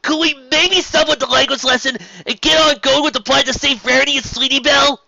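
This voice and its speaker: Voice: high voice, steady tone, fairly quick, vocal fry, pushed and bright, lo-fi sound, pitched above the performer's own voice, exaggerated voice. A woman voicing an earnest, impatient young male dragon in a cartoon fan story, urgent and a little anxious. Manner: pleading, urgent.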